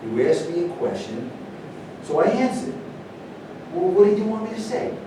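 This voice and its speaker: In a noisy, very echoey recording, an actor plays a slighted man standing up for himself. His stage voice sits a little high. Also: very animated, moderate pace. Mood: indignant. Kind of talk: stage play reading. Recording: distant mic, heavy echo, noisy rumble.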